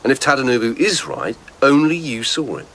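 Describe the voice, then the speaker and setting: An actor playing a probing lieutenant investigating a death in a radio drama, speaking directly and pressing with questions. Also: male voice, mid-range voice, very animated, fairly quick, fairly bright tone, a little hiss or hum. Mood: probing, serious.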